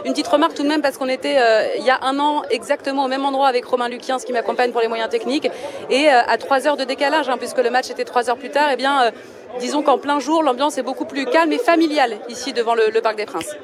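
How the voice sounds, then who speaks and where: Fast-talking female reporter amid a crowd. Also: sits high. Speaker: field reporter. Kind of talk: live radio report with an interview. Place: outdoors outside a stadium.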